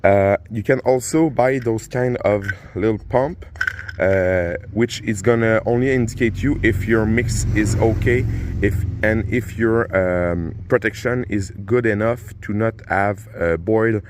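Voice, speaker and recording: Man, French accent, somewhat low voice, halting how-to explanation, frequent fillers and self-corrections. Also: background noise. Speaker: car repair channel host. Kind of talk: casual how-to demonstration.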